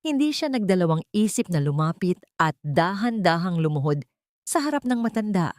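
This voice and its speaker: Woman, lowish voice, scripted romance narration, solemn and melodramatic, measured delivery, dry recording. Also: very animated, darkish tone, dry recording. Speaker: story narrator. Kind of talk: scripted dramatic love-story narration.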